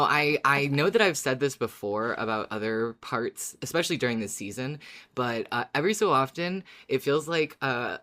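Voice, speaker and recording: A woman's voice: a bass voice, fairly bright tone. A woman co-hosting a podcast, chatting casually as a fan in an encouraging, supportive way. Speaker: podcast co-host.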